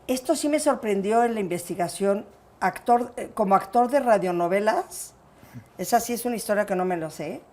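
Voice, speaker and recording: A woman's voice: mid-range voice, normal speed, a little mellow. A woman with a Mexican accent hosting a personal interview in an effusive, affectionate manner. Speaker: interview show host.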